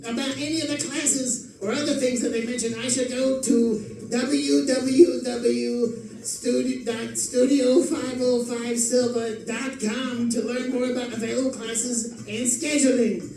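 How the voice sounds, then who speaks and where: A bewildered but boastful gumshoe, acted out by a man, narrates in melodramatic old-time radio style. The voice sits very high for a man. It rings through an echoey space, picked up from well away with faint music behind it. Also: darkish tone. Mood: boastful, amazed. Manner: melodramatic, wisecracking diary narration. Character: bewildered, boastful 1940s gumshoe private eye. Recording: echoey room, distant microphone.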